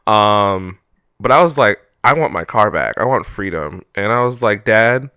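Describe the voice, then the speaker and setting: Young adult man, podcast co-host, lowish bright voice with a dry, close sound and a joking storyteller manner. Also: expressive, unhurried, smooth, dry recording. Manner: joking storyteller, playful asides. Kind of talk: casual chat.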